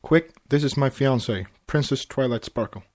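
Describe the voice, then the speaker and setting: A man's voice: medium pitch, moderate pace, a little mellow, close to an everyday voice. A man voicing a mild, awkward young male pegasus in a read-aloud story, earnest in manner.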